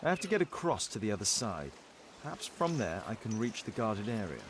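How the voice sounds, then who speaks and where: A sharp-minded male detective reasons aloud, precise and matter-of-fact. His mid-pitched voice moves in lively contours, with clear background noise behind it. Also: fairly quick. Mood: calculating. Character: analytical male detective, observant, deliberate, plotting. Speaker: game protagonist. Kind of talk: scripted game dialogue, thinking aloud.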